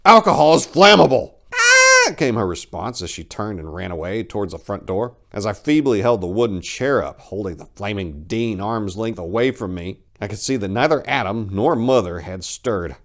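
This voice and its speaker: A man narrating a first-person horror story in an expressive, theatrical, dramatic way. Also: lowish voice, normal speed, few pauses.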